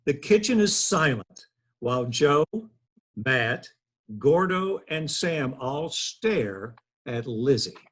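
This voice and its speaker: A man's voice: mid-range voice, animated, slow, fairly bright tone, slightly rough. A man in his thirties reading scripted stage directions at a table read over a video call. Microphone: computer on video call.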